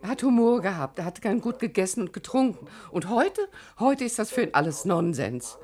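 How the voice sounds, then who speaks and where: An actress playing a worried, gossipy housekeeper in a radio play. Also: female voice, medium pitch, very animated, brisk, darkish tone, close to an everyday voice. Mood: fretful. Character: fretful, chatty female housekeeper. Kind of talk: German radio play acting.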